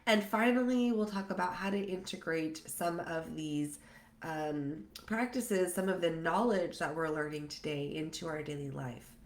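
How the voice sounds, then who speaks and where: A woman in her thirties, congested from a cold, hosting a live yoga workshop and talking haltingly with many fillers. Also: low voice. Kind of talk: live online workshop talk. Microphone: computer mic on a live stream. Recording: echoey room.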